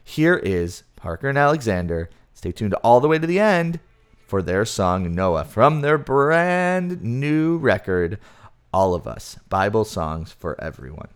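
Male podcast host, low-ish voice, rambling and self-deprecating, casual chat. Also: sing-song, a little slow, clear, resonant tone.

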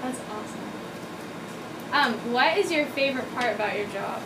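Higher-voiced woman interviewer, distant and echoey. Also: animated, normal speed, noisy background. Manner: casual, hesitant, lots of fillers. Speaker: camp interviewer.

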